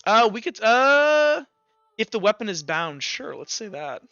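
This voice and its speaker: A man's voice: sits high, very animated, unhurried, sharp tone, dry recording, dull audio, faint music behind. A man narrating and explaining a tabletop roleplaying game as game master in a rambling, self-correcting way.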